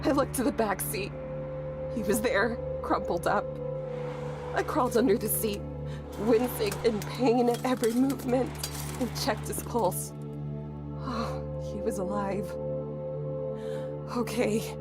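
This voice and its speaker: A desperate woman survivor telling her horror story. Her voice sits somewhat high and moves slowly, with halting, intense emotion. Loud dramatic music runs behind this audio drama performance. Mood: shaken, pained.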